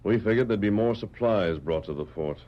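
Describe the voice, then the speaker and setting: A man's voice: lowish voice, brisk, natural voice. A man playing a resolute, commanding cowboy hero in a radio western, with earnest, formal diction. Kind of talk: 1940s radio western acting. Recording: muffled vintage radio recording, faint noise.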